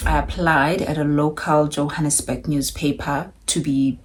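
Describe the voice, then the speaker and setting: Husky low-voiced South African woman. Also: steady tone, moderate pace. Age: 30s. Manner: chatty and candid, with wry asides. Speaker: vlogger. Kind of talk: casual talking-to-camera vlog.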